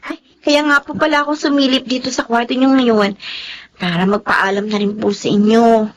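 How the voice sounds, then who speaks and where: An actress playing a fussy, caring housemaid who is scolding but respectful, in a radio drama. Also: female voice, a little high, fairly even intonation, moderate pace, natural voice, rough recording. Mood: gentle, concerned. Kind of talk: Tagalog radio drama acting.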